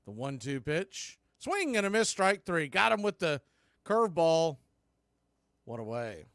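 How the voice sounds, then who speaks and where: A man's voice: a little high, very animated, unhurried, fairly bright tone, no echo, clean studio sound. A man calling baseball play-by-play, rising sharply on big plays. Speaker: play-by-play announcer. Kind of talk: radio play-by-play commentary.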